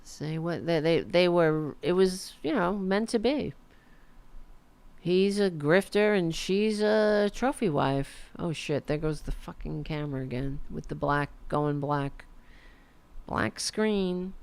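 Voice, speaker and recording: Sarcastic woman livestreamer with a gravelly edge. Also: lowish voice, slow pace, darkish tone. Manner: sarcastic, profane, mocking. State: losing her voice. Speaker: political commentary host. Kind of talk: casual livestream commentary.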